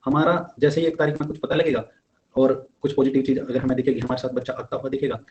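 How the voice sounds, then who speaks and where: Young man, mellow and insistent. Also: mid-range voice, fairly even intonation, fast talker, highs cut off. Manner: insistent, exhorting, repetitive. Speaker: exam candidate giving updates to fellow applicants. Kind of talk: informal spoken update, addressing peers.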